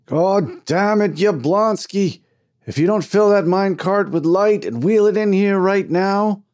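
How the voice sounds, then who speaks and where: A man's voice: medium pitch, moderate pace, dark tone, lightly acted. A man voicing a blustering, bigoted mine foreman in an audiobook, cursing and exasperated. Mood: furious yelling. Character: gruff, bigoted, hot-tempered mine foreman. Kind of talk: dramatized audiobook character.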